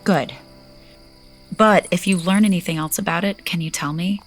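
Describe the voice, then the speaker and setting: Woman voicing an impulsive young princess, breathy and lowish, confiding, audio drama. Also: fairly even intonation, moderate pace, soft background music, natural voice. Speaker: supporting character.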